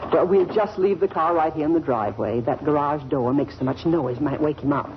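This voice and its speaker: An American actress playing a calm, practical, kindly mother in a 1940s radio sitcom. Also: deep voice, brisk, lightly acted. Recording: noisy, muffled old radio recording.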